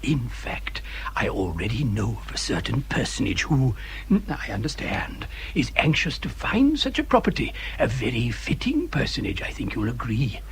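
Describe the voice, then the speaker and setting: An actor playing a flustered, fawning English gentleman's agent in a radio period drama, stammering and fussing obsequiously. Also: medium pitch, big pitch swings, normal speed, a little hiss or hum.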